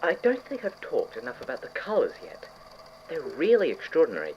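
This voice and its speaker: A man playing an eccentric, obsessive scientist in an audio drama, musing aloud to himself with blithe cheer. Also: medium pitch. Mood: fascinated musing. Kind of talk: audio drama lab log monologue. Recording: dull, distorted tape with clear background noise.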